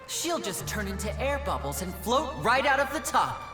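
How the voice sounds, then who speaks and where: High-voiced, showy young male magician. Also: very animated, normal speed, music in the background. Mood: mock alarm. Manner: showy, teasing, polished. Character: flamboyant young male stage magician, playful and confident. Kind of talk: theatrical stage patter in a video game.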